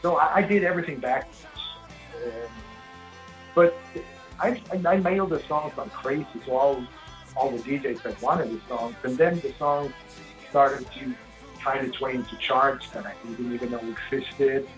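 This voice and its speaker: A man from Sweden with a big, gravelly voice, telling stories at length in a casual interview and often restarting his sentences. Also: medium pitch, normal speed, faint music behind, rough recording. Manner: rambling storyteller, frequent restarts. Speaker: singer-songwriter guest.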